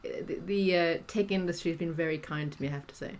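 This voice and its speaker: Middle-aged South African woman, low-set voice, easy chatty podcast guest. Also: fast talker, a little mellow. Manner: chatty, many restarts and fillers. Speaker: guest, executive coach. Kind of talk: podcast interview conversation.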